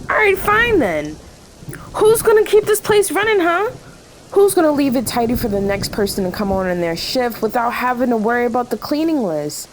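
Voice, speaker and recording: A woman's voice: high-pitched, a little hiss or hum, rough recording. A woman playing an overworked, devoted diner worker in a radio play, impassioned and hurt, piling up rhetorical questions.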